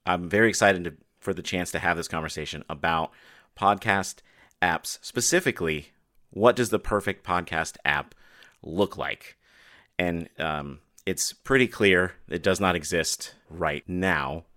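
A low-pitched man with a stuffy, nasal voice from a head cold hosts a chatty tech podcast. He talks freely and loosely, circling back on his own sentences. The recording is dry and close, with no room around him. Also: measured pace, sharp tone, no room sound. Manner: chatty, talks a lot with restarts. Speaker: podcast host. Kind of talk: casual podcast chat.

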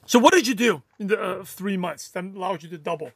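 A man's voice: high-pitched, brisk, gravelly, husky, dry recording, studio quality. A man hosting a podcast, asking the guest probing follow-up questions. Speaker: podcast host.

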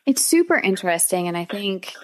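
A woman's voice: medium pitch, big pitch swings, a little fast. A woman hosting a podcast, speaking in a conversational interviewer's style.

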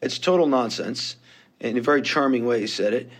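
A man's voice: medium pitch, a little fast. A man in his fifties with a New York accent, speaking as a podcast guest. He tells his story candidly and pokes fun at himself along the way. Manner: candid, self-deprecating storytelling. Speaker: guest investor. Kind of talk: podcast interview.

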